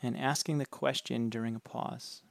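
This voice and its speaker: Calm, gravelly-voiced man guiding meditation. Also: medium pitch, no echo. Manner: calm, slow, gentle instructions. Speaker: meditation guide. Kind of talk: guided meditation.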